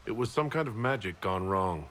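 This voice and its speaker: Bright-voiced, composed elf hero. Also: male voice, on the low side, expressive, moderate pace, slight background noise. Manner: composed, dry, questioning. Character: male elf hero leader, composed, dryly witty. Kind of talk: video game dialogue.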